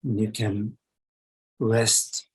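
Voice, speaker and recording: A man leading a guided meditation as a teacher, speaking in short phrases with long pauses between them. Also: fairly low pitch, flat delivery, slightly rough.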